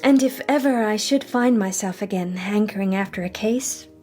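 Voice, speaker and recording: A woman's voice: mid-range voice, moderate pace, a little mellow, soft background music, close to an everyday voice. An actress playing a young, loyal and proud society woman detective in a radio drama, speaking in a refined, heartfelt way. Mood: earnest, reflective.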